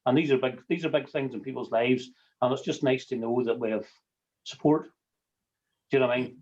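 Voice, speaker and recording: Halting Northern Irish man, muffled call audio. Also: medium pitch, a little mellow. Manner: halting, repeating words, tagging phrases with everyday sayings. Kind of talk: informal personal sharing on a group call.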